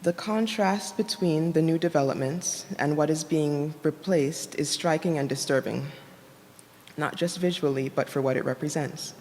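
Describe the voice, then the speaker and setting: A soft-voiced woman reading a prepared academic conference talk in a measured, formal way, enunciating carefully. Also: sits low. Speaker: academic presenter, designer. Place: indoor conference room at a university. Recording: reverberant hall, noticeable background noise.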